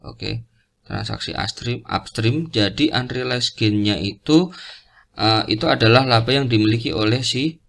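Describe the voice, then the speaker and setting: A man with an Indonesian accent explains a worked example as a lecturer, step by step, in an informal, halting way and often repeating himself. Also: mid-range voice, gravelly, fairly bright tone. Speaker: accounting lecturer.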